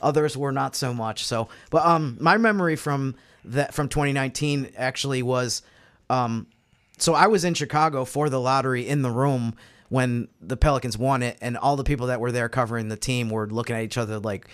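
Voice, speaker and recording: A man's voice: mid-range voice, fairly quick, a bit bright, clean tone. An American man on a sports podcast panel, explaining things casually with hedged asides. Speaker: podcast panelist covering basketball. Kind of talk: casual sports roundtable talk.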